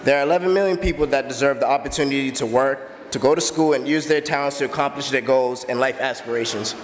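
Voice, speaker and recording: A man in his early twenties from the Bahamas, earnestly telling his own story as a steady personal testimony. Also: medium pitch, quick pace, long reverb tail. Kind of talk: personal testimony, read aloud.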